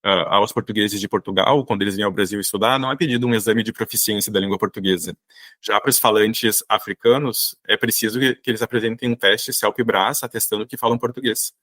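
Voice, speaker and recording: A Brazilian man hosting an interview, speaking in a thoughtful, measured way with a few hesitations. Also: fairly low pitch, fairly even intonation, a bit bright, dry recording. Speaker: podcast host. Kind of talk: conversational interview.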